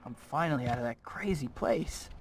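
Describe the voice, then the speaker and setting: An actor playing a wisecracking young superhero in a video game, plucky and sarcastic, talking to himself in quips. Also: male voice, on the high side, expressive, moderate pace, vocal fry, slight background noise. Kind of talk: video game hero acting.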